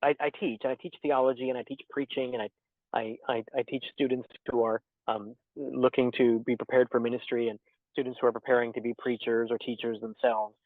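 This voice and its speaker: A man's voice: mid-range voice, little pitch movement, no room sound. Thoughtful man on a tinny remote line. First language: English. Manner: thoughtful, measured, with many fillers and restarts. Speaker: interviewed poet and theology teacher. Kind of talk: reflective interview answers. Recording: tinny dry phone-like line.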